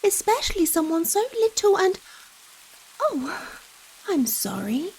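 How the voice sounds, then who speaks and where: Woman acting a slow, high-voiced giant vampire seductress. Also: heavily acted, rough recording. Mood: coy, smug. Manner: slow, coy, coddling talk with pet names. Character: giant female vampire, gentle, teasing, possessive and dominant. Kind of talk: intimate ASMR roleplay acting. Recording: rain falling behind the voice. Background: steady rain.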